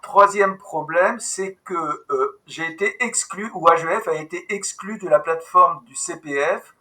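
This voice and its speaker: A man hosting an online team meeting over a video call, giving a business update in a brisk way with many restarts. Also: fairly high pitch, sharp tone. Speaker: meeting host, company manager.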